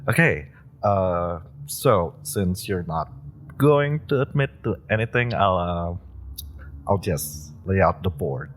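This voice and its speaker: A man's voice: low voice, animated, takes it slowly, slight background noise. A man playing an eager, giggly superpowered rookie. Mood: admiring. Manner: rambling, giggly, stumbling over words. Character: eager young male superpowered rookie, fanboyish. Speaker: voice actor. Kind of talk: audio roleplay drama.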